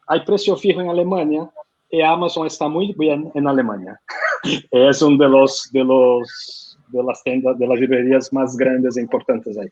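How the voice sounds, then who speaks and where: A man's voice: mid-range voice, a little mellow, muffled recording. A man from Brazil with a Brazilian Portuguese accent, a publishing consultant answering questions in Spanish at an online conference, with frequent fillers and restarts. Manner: hesitant non-native Spanish with many fillers and restarts. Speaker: publishing consultant, guest speaker.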